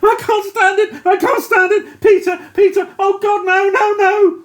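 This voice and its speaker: A narrator voicing a young British army captain who is shattered and sobbing, in a high, wailing, breaking voice. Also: big pitch swings, a little fast. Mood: distraught. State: crying.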